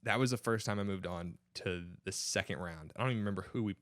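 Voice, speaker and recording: A man's voice: low-pitched, quick pace, dry recording. A young man chatting casually with friends as a podcast co-host. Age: young adult. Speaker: podcast co-host and college club tennis player. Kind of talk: casual chat among friends.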